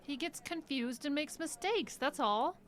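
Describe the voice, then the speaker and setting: An actress playing a caring but defensive caregiver in a radio drama, hesitant and conversational. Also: female voice, high voice, animated, unhurried. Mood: defensive, uneasy. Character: caring, defensive female caregiver to an old doctor.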